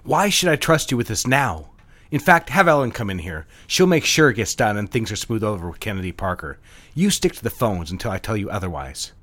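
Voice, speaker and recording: A man's voice: fairly low pitch, big pitch swings, a little fast, a bit bright. A man playing a cold, domineering advertising boss in an audio drama, curt and condescending. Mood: stern, exasperated.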